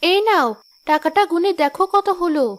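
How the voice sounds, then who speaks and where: A woman voicing a caring wife and mother in a cartoon, calm and reassuring. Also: extremely high pitch, normal speed. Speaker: narrator and mother.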